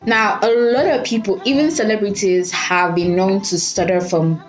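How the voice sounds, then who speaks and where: A woman's voice: mid-range voice, fairly even intonation, normal speed, soft background music, low-quality recording. A woman talking casually to camera, giving tips on speaking, who stutters on some sounds and laughs at herself. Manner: chatty, repeats "you guys", laughs at herself. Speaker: vlogger teaching speaking tips.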